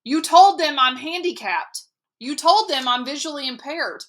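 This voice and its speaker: A woman voicing a proud, stubborn middle-school boy goalie who protests indignantly and rises to shouting. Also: on the high side, expressive, normal speed. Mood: accusing. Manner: indignant, pleading, rising to shouts.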